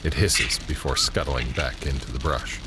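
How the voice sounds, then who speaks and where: A man narrating a scripted audio drama in a measured, descriptive way. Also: rumbling low, steady tone. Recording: background ambience and noise.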